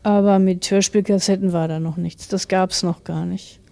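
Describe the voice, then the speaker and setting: Woman in her 40s being interviewed, mellow voice, explains stuff. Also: on the low side, steady tone, moderate pace, vocal fry.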